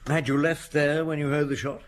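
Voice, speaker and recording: A man's voice: fairly high pitch, flat delivery, fairly quick, slight background noise, natural voice. An actor playing a brusque, dogged police chief inspector in an audio drama, curt and probing in his questions. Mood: brisk, questioning.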